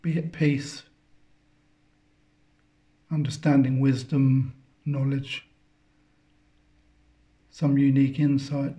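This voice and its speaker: A man guides a meditation at a very slow pace, with a soft-edged, mellow tone. His delivery stays even and contemplative, in short halting phrases separated by long pauses. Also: mid-range voice. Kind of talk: guided meditation, spiritual talk.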